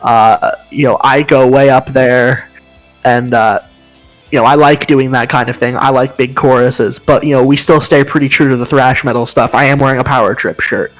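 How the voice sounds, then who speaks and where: A man with a high voice co-hosting a casual podcast chat, explaining with enthusiasm and humming riff sounds. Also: fairly even intonation, normal speed, faint music behind, rough recording. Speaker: podcast co-host.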